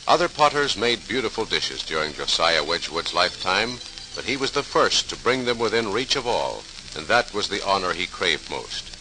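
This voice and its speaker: A man's voice: lowish voice, background noise. A man narrating a scripted 1940s radio program in a formal, grand announcer style with dramatic emphasis. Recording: vintage 1940s radio transcription.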